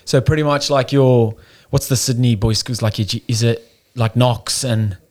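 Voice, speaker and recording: A man's voice: medium pitch, fairly even intonation, moderate pace, a little mellow. A young South African man with a South African accent, a podcast guest talking candidly, swearing now and then and often restarting his sentences. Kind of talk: casual chat.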